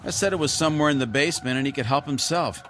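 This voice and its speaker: An actor playing an easygoing, oblivious husband in a television drama, with a casual, chatty way of talking. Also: male voice, on the high side, rapid-fire, a bit bright, slight background noise, lightly acted. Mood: upbeat. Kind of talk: naturalistic television drama acting.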